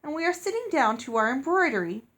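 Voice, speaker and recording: Storybook finishing-school headmistress played by a woman: gray-haired, proper, effusive, pitched well up. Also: big pitch swings, quick pace, fairly bright tone. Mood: gracious. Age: older. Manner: gushing, genteel, formal. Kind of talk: storybook character voice.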